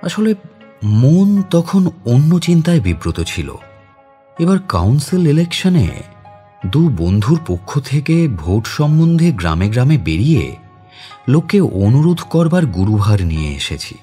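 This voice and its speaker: An actor playing a mild, educated man near forty who narrates his memories in a dramatised literary audio story, in a reflective, expressive way. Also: medium pitch, unhurried, soft background music.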